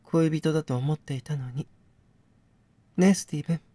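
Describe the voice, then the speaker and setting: A composed captive actress, played by a man, speaks her game dialogue with coy, wistful softness. She teases in feminine phrasing, in a dry, close recording. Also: on the high side, moderate pace, no echo, natural voice. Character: captive actress, soft, wistful, teasing woman. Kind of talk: dramatic game dialogue reading.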